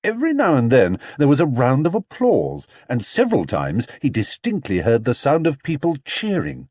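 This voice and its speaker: Very animated man reading a children's story. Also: medium pitch, moderate pace, no room sound, studio quality. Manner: expressive, theatrical storytelling. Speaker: storybook narrator. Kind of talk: animated children's audiobook narration.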